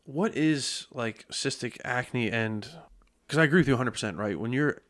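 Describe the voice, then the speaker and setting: A man's voice: mid-range voice, moderate pace, fairly bright tone. A man hosting a podcast interview in a studio, chatting casually and joking, sometimes stumbling over words. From United States. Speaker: podcast host.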